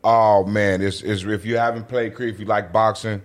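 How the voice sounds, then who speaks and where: A man's voice: lowish voice, level tone, normal speed. A man chatting casually as a guest, emphatic and slangy, repeating phrases to drive points home. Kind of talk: casual chat.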